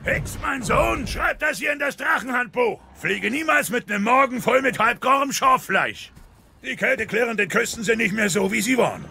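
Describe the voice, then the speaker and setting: Gravelly, booming Viking chief. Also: male voice, lively intonation, normal speed, bright, forceful tone, faint music behind, full character voice. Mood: booming, hearty.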